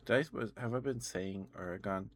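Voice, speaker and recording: Low-pitched man in casual ASMR chat. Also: a little fast, slight background noise. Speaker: live streamer. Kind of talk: casual rambling ASMR chat about video games.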